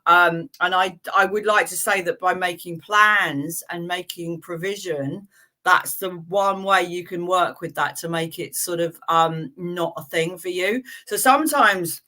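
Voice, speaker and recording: A woman's voice: on the low side, measured pace, pushed and bright. A woman hosting a live tarot reading stream, chatting in a friendly way with viewers and reading cards for them, with frequent fillers and laughs.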